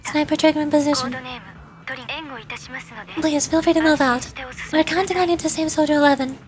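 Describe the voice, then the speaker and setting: A woman's voice: high-pitched, fairly even intonation, moderate pace, natural voice. A woman playing a mysterious, cool and reassuring military sniper in a video game, composed and concise.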